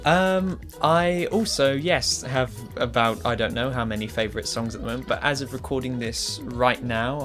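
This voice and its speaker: A person chatting casually and a little hesitantly as a series co-creator answering fan questions. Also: mid-range voice. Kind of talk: casual Q&A chat. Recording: music playing behind the voice. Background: background music.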